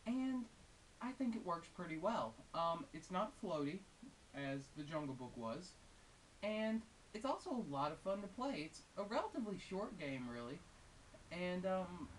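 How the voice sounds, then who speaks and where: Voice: medium pitch, very animated, normal speed, a bit bright. A female-sounding game reviewer giving casual, unscripted and opinionated commentary in a conversational way with wry asides. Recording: hissy, distant microphone.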